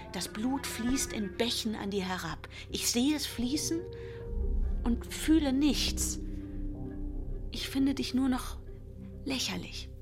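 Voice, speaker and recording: An actress playing a cold, scornful wife recounting a dream in a radio play, intimate and slipping into whispers. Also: female voice, a little high, lightly acted. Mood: cruel, scornful. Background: music.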